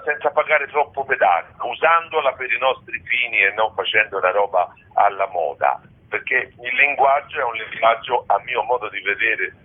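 A man's voice: medium pitch, breathy edge. A man being interviewed on the radio as a theatre guest, reflecting conversationally. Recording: muffled phone line on radio.

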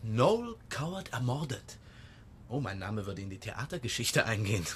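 An actor playing a bitter, unstable young killer in a radio play, tense and wounded, faltering when shaken. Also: male voice, mid-range voice, sing-song, normal speed, a bit bright, a little hiss or hum. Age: young adult.

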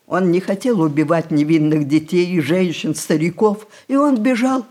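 A woman's voice: fairly high pitch, darkish tone. An older woman playing a mischievous, rebellious grandmother of great age in an audio play, with theatrical, emphatic delivery. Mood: grave, passionate. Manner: theatrical, emphatic, with drawn-out words. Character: spirited, rebellious 106-year-old grandmother, mischievous and sharp-tongued. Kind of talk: stage-style audio play acting.

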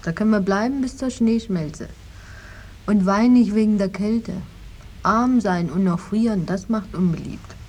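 An actress playing a poor, guarded young maid, plain and stoic, in the style of a 1950s radio drama. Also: female voice, medium pitch, moderate pace, a little mellow, a little hiss or hum, close to an everyday voice. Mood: tender, stoic.

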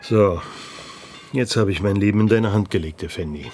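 An actor playing a guarded village headman in a radio drama, speaking deliberately and matter-of-factly. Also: on the low side, a little mellow, close to an everyday voice. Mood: solemn, confiding. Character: guarded village headman confiding a dangerous secret. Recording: faint ambient noise.

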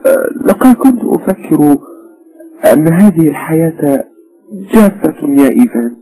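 A man voicing an unhappy young wife with a breathy, sighing falsetto. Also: animated, normal speed, dark tone, a little hiss or hum, close to an everyday voice, poor audio. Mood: weary.

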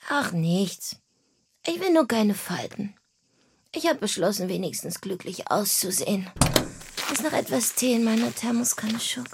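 A woman's voice: medium pitch, expressive, a little slow, vocal fry, background noise. A woman in a radio play acting a boozy, flirtatious mother who coaxes and soothes in a languid way. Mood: languid, playful.

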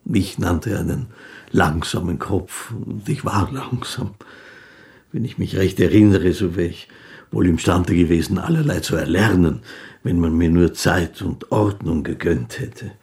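An actor playing a gentle, humble old street musician in a radio play, halting, polite and wistful. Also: male voice, deep voice.

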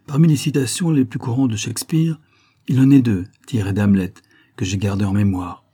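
A man reading a first-person novel aloud in a measured storytelling manner. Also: on the low side, fairly even intonation, warm tone, breathy edge. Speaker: audiobook narrator.

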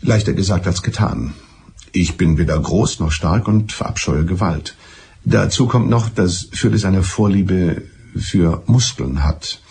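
Gravelly, pedantic betrayed husband. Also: male voice, low-pitched, a little mellow, slight background noise. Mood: resigned, earnest. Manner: deliberate, pedantic, circuitous. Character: mild, evasive betrayed husband confessing, smugly clever. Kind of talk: German radio drama acting.

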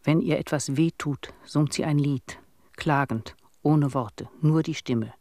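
An actor playing an earnest, imploring villager in a radio play, speaking in simple, halting phrases. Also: male voice, on the high side, a little mellow. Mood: pleading, sorrowful. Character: earnest villager pleading for a lost neighbour.

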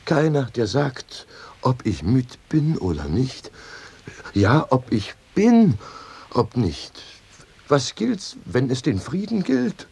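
Earthy stubborn farmer in a radio play, really drawn out and declamatory, bit husky. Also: male voice, mid-range voice, big pitch swings, slow, a little mellow, exaggerated voice. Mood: rousing, earnest. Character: earthy, stubborn, exuberant male wine farmer. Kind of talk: theatrical radio play acting with some singing.

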